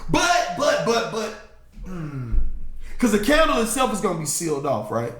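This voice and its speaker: A man with a very high voice and a stuffed-up nose chats loudly as a podcast co-host. He swears freely and laughs often. The room around him sounds echoey. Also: wildly swooping pitch, moderate pace. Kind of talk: casual chat.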